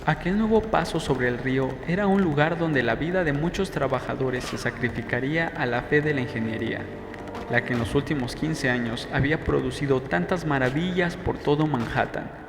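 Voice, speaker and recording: A man with a mid-pitched voice reads a novel as an audiobook narrator. The sound is very echoey, with long reverb and a faint background noise. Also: normal speed. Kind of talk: scripted first-person novel narration.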